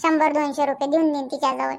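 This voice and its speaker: A woman's voice: high-pitched, little pitch movement, fast, vocal fry. A woman voicing a young, practical village mother in a cartoon comedy, chatty and colloquial, with a rural Vidarbha Marathi accent. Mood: agreeable, explaining.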